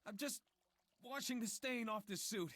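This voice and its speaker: A man's voice: very high-pitched, normal speed, a bit bright, no echo. A man voicing an anxious, self-effacing young man in a visual novel game, hesitant and apologetic, often stammering. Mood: nervous, evasive.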